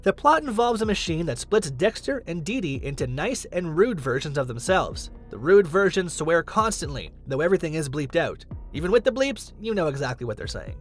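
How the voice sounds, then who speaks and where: Guy with a pretty high voice doing scripted list narration, music in the back. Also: very animated, fairly quick, few pauses. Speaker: host, narrator. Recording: music under the voice. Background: background music.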